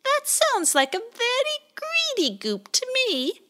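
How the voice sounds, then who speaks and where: A woman voicing a sly, scornful male blackbird in a storybook, with a very high, drawn-out tone. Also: wildly swooping pitch, gravelly, fairly bright tone, full character voice.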